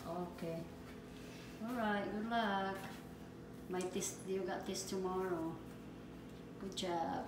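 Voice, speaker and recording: Filipino mom vlogging over loud rain. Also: female voice, mid-range voice, steady tone, slow, pauses often, background noise. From Philippines. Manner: chatty, coaxing questions, mixing languages. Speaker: mother filming her son. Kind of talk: casual home vlog narration. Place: porch in heavy rain, then home kitchen. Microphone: phone camera held by the speaker. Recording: roomy sound under loud rain.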